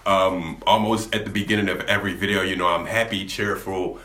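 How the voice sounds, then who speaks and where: A young man with a deep voice, talking candidly to camera in a laid-back, rambling way, often restarting his sentences. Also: steady tone, fairly quick, bright, forceful tone. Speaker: gaming YouTuber. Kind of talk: candid vlog talk to camera. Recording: echoey room.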